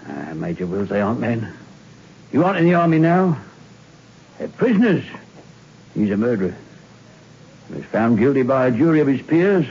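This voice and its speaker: A man's voice: mid-range voice, big pitch swings, normal speed, gravelly, pauses often, a little mellow, husky, full character voice. An actor playing a curt, formal, dutiful male hangman in a 1960s radio drama. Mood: curt, stern. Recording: muffled old radio recording.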